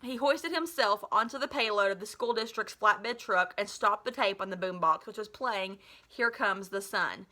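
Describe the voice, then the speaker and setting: A woman's voice: medium pitch, moderate pace, hardly any pauses, bright, forceful tone. A woman in her thirties reading a children's novel aloud expressively, like a teacher, with dramatic delivery and the odd self-correction, recorded in a living room. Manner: dramatic delivery, slips and corrects herself with asides. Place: small living room at home, indoors.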